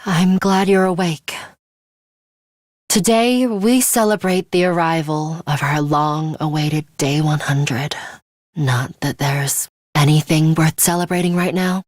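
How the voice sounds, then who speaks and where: A woman's voice: low voice, fairly even intonation, takes it slowly, dry recording, clean studio sound. An actress playing a stern, composed young woman fighter in an English video game, blunt and guarded, with clipped, formal, matter-of-fact delivery. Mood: resolute, flat.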